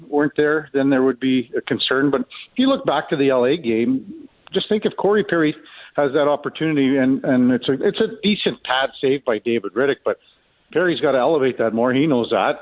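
A man giving casual sports analysis over a phone line, conversational, often repeating and rephrasing his points. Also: fairly high pitch. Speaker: sports commentator. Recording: tinny, phone-like narrow band.

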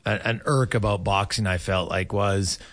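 A man's voice: on the low side, steady tone, moderate pace, fairly bright tone. A man, chatting casually and in a rambling, opinionated way as a sports interviewer. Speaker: sports radio host and interviewer.

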